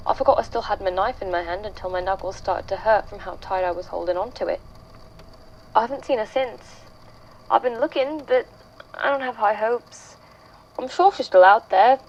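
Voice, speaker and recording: A woman playing a frightened, grieving young woman giving a halting, earnest statement in a horror audio drama. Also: medium pitch, a little mellow, slight background noise, low-quality recording. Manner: halting, haunted, earnest, with hesitant restarts.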